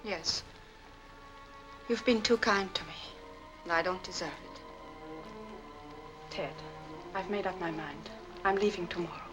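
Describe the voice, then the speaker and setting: An actress playing a troubled, demure young French widow in a 1940s Hollywood film. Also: female voice, fairly low pitch, unhurried, pauses often, lightly acted, rough recording. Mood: sad, apologetic. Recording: old film soundtrack, music behind.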